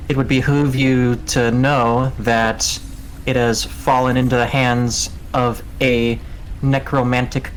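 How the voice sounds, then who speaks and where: A man acts out a courtly ratman devotee of plague in a tabletop fantasy game. He is self-assured and formal, choosing his words slowly at a middling pitch. There is light background noise on the recording. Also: poor audio. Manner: formal, measured, deliberate phrasing.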